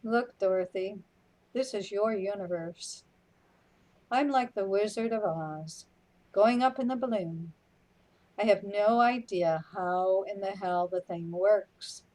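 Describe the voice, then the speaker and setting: A woman playing a wry, weary companion in a radio play, speaking in a deliberate way. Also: mid-range voice, a little mellow, natural voice, rough recording. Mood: wry, unruffled. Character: unhurried, matter-of-fact companion, wry and weary.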